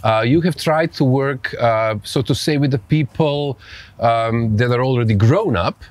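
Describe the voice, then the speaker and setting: A man's voice: medium pitch, a little slow, no echo. Serbian-accented man hosting, closely recorded. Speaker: podcast host. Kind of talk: conversational interview.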